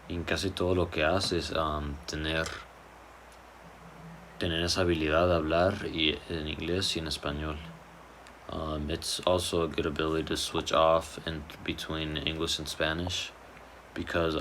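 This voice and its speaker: A man's voice: very deep voice, pushed and bright, slight background noise. A young man giving a casual personal statement, loose and informal, with restarts and fillers. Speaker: student speaker.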